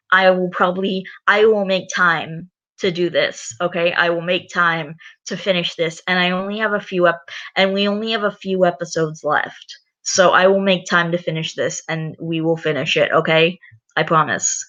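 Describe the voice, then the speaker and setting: A woman's voice: fairly low pitch, fairly even intonation, moderate pace, a bit bright. A very tired woman hosting a reading channel, making rambling, exasperated asides in casual commentary. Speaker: channel host reading stories. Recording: dull lo-fi recording.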